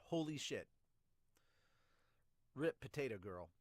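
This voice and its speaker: A young man hosts a casual manga review, thinking out loud in a mid-pitched voice. He rambles through his reactions. The sound is dry and close on a studio mic. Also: expressive, slow pace, no room sound. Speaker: podcast host reviewing manga. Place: small indoor room with bookshelves. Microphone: close studio condenser with pop filter.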